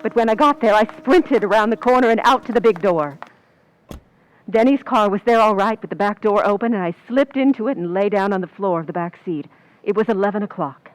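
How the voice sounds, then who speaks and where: A woman playing a scheming stand-in actress confessing to murder in an old-time radio suspense narration, with tense, deliberate delivery. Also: mid-range voice, close to an everyday voice, rough recording. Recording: music under the voice.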